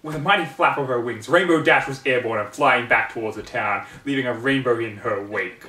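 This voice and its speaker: A man's voice: medium pitch, fairly quick, pushed and bright. A man reading fan fiction aloud at home in an amateur storybook narration style. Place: room at home. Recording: roomy, distant mic, home recording.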